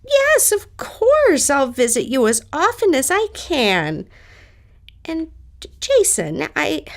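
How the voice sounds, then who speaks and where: A woman performs a genteel older lady from an audio drama, kindly and chatty. She takes her time over words, and her voice sits a little high, sweeping widely up and down. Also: exaggerated voice. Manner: genteel, chatty, addresses others as dear.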